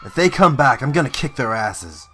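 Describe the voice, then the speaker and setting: A man's voice: medium pitch, very animated, normal speed, a bit bright, a little hiss or hum. A man playing a hot-headed, foul-mouthed police officer in a radio play, with an aggressive, barking manner. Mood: grim. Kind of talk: amateur radio play acting.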